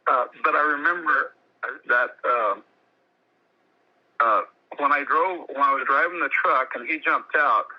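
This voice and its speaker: A dull, lo-fi phone call recording carries a man's medium-pitched voice. He talks informally and haltingly, often circling back to repeat his words.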